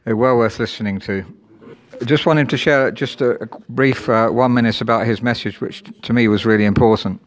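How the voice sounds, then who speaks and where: A man interviews guests at a church conference. He asks short, brisk questions in a friendly, casual way. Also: mid-range voice. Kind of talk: casual vox-pop interviewing.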